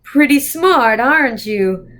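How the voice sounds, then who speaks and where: A woman voicing a menacing, coolly amused man who speaks by thought in a storybook, slow, deliberate and condescending. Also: high-pitched, lively intonation, fairly bright tone, exaggerated voice. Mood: probing, sly.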